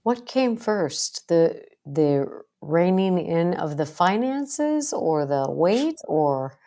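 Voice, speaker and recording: A woman's voice: on the low side, big pitch swings, takes it slowly, dark tone. A middle-aged American woman hosting a podcast, chatting casually in an emphatic, folksy way. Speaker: podcast host. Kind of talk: casual conversational interview.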